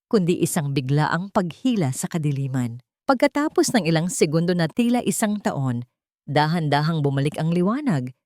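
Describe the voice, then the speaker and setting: A woman with a Filipino accent narrating a dramatic story in a measured, solemn way. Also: on the low side, darkish tone, no echo, studio quality. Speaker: narrator of a Tagalog audio drama.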